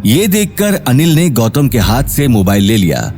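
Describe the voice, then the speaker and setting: एक आदमी ऑडियो कहानी को नाटकीय अंदाज़ में, लिखी हुई पटकथा से सुना रहा है।. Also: male voice, mid-range voice, big pitch swings, moderate pace, darkish tone, a little hiss or hum. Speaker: narrator. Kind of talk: dramatic scripted story narration.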